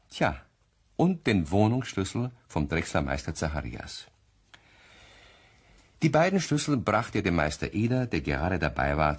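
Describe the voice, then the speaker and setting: Male narrator, low husky voice, animated pitch, expressive children's storytelling.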